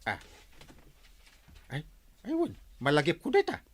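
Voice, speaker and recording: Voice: medium pitch, huge pitch swings, unhurried. An actor with an Ilocano accent playing a befuddled, hungover village captain in an audio drama. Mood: groggy.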